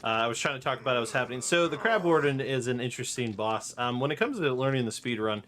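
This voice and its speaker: A man's voice: medium pitch, fast, fairly bright tone. A man giving informal, jokey live commentary on his speedrun as he plays. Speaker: speedrunner commentating his own game run. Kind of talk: casual live stream game commentary. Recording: game music behind the voice. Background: video game music.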